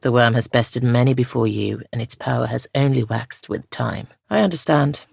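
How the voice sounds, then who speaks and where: A woman playing a solemn, ancient female spirit guardian in a fantasy game, speaking softly and formally with measured gravity. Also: rumbling low, no room sound, studio quality, full character voice. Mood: grave, foreboding. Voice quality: soft, gravelly. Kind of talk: scripted fantasy game dialogue.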